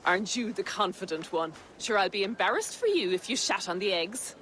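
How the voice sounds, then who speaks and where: A woman's voice: mid-range voice, expressive, a little fast, a bit bright, a little hiss or hum, close to an everyday voice, rough recording. An actress with an Irish accent playing a bold, cheeky, teasing bow-maker woman in a video game. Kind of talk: game voice acting.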